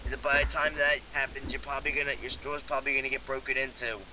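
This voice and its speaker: A man's voice: medium pitch, level tone, fast, close to an everyday voice. A man playing an insistent, pleading security technician on a prank phone call, repeating himself. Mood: urgent, pleading. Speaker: prank caller. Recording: dull lo-fi phone recording with hiss.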